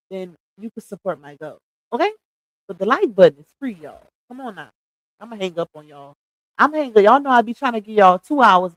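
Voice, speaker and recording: A woman's voice: mid-range voice, no room sound, poor audio. A woman in her thirties chatting casually on a livestream, gossiping, laughing often and talking straight to her viewers. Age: 30s.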